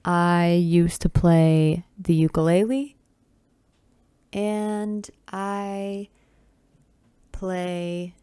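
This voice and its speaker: A young woman in her twenties chats through a solo podcast episode in a relaxed, self-teasing way. Her voice sits a little low and sounds smooth and clear. The recording is dry and close, with no room sound. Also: drawn-out words, long pauses, no echo. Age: 20s. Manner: chatty and self-teasing, with sighs and asides. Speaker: podcast host. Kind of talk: casual solo podcast chat. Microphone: camera with close mic.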